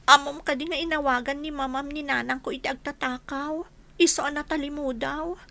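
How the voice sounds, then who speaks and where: A woman playing a young, excitable housemaid and devoted daughter in an Ilocano radio drama, respectful, anxious and full of questioning exclamations. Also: high voice, a little fast, gravelly, exaggerated voice. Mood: upset, questioning.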